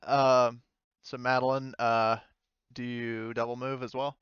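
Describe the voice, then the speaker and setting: Man game mastering, clear dry voice. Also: mid-range voice, little pitch movement, unhurried, a bit bright, no room sound, lo-fi sound. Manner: hesitant, casual, swearing freely. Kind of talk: running a tabletop roleplaying game, casual.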